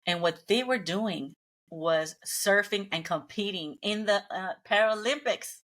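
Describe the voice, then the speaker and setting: Earnest, bright-voiced woman guest. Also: mid-range voice, a little slow. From Mexican family, grew up in Los Angeles. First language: Spanish. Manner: earnest, upbeat, motivational. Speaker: guest, speaker and entrepreneur. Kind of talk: casual interview.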